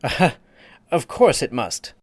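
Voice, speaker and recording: A man's voice: mid-range voice, sing-song, no echo, studio quality, pitched above the performer's own voice. A man voicing a pompous, easily offended egg creature who lectures and takes offense. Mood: haughty. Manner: haughty, quick to take offense, lecturing.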